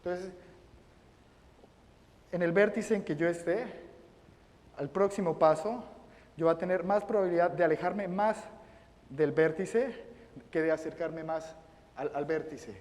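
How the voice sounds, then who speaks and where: A man giving a math lecture, halting and often repeating his words. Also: sits high, a little mellow. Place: lecture room. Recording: reverberant lecture hall.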